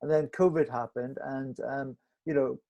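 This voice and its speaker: A man reflecting as a film director in a panel discussion, halting and restarting as he searches for words. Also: medium pitch, steady tone, gravelly, highs cut off.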